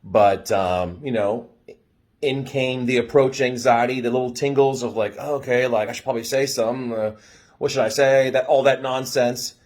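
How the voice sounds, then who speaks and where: A young American man casually telling a story in an animated way, swearing freely and acting out dialogue. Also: medium pitch, normal speed. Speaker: storyteller giving dating advice.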